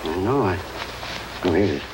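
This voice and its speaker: A man's voice: low-pitched, expressive, a little fast. An actor playing an eccentric older ex-circus man who rambles insistently, in a 1950s radio drama. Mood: rambling. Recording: old radio broadcast with hiss.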